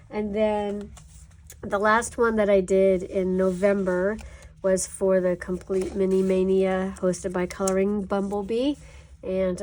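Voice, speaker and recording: A woman host chats casually about her finished coloring pages, voice stuffy and congested from allergies. She rambles a little, with plenty of ums and self-corrections, at a middling pitch. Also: darkish tone.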